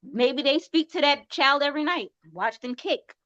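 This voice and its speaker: A woman's voice: sits high, normal speed, fairly bright tone, no room sound. Firm, chatty woman podcast co-host. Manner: firm, advice-giving, chatty. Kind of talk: casual podcast chat. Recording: slightly dull, dry audio.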